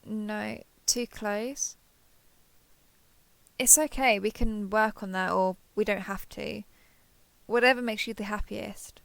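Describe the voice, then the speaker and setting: A woman's voice: mid-range voice, steady tone, slow pace, gravelly, pauses often, no room sound. A woman playing a lonely, clingy alien posing as a human survivor in an intimate audio roleplay, speaking hesitantly and coaxingly to the listener. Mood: relieved, clingy. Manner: hesitant, coaxing, softly over-eager to please.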